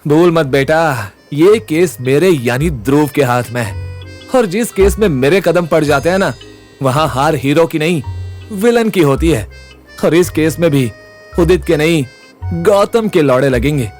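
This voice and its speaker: A man's voice: on the high side, animated, a little slow, a little mellow, faint music behind. A man playing a cocky, sarcastic young college amateur sleuth in a comic audio drama.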